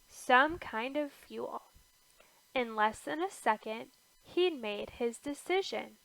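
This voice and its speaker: Slow-reading woman with a higher, creaky voice. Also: bright, forceful tone, no room sound, low-quality recording. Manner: deliberate phrasing with pauses between short phrases. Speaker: storybook reader. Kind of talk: slow read-aloud of a rhyming children's picture book.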